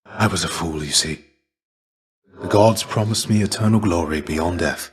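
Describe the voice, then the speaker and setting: A man acts out a fallen hero's shade from a video game, with a deep voice. He is a fatherly, weary mentor who speaks formally and gently. His phrasing is measured and archaic, like an old soldier of legend. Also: lightly acted. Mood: sorrowful, regretful. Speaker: game character voice actor. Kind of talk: scripted video game dialogue.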